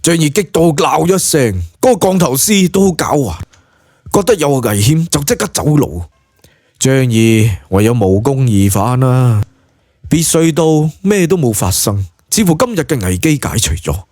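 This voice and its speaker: A man's voice: mid-range voice, very animated, normal speed, a little mellow, no room sound, studio quality. A man with a Cantonese accent narrating a scripted story in a dramatic audiobook style.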